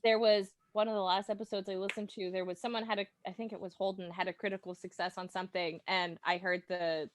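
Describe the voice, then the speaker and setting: A woman's voice: mid-range voice, level tone, fast, hardly any pauses, no echo. A woman speaking informally as a guest in a casual podcast chat about games, acting out little reactions. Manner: informal, imitates sound effects and reactions. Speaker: podcast guest, listener of the show.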